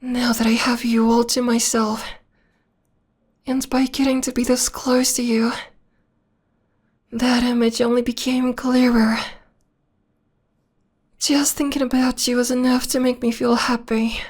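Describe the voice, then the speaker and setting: A woman voicing a possessive, adoring vampire lover in an intimate ASMR roleplay, with a breathy whisper and a slow, tender, lingering delivery. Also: a little high, flat delivery, a little mellow. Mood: devoted longing.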